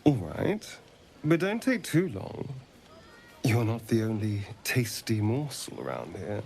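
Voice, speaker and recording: An actor playing a flirtatious, self-indulgent vampire rogue in a video game, with an arch, theatrical drawl. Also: male voice, on the low side, very animated, slow pace, gravelly, darkish tone, faint music behind, heavily acted. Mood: teasing, seductive. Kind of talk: video game character acting.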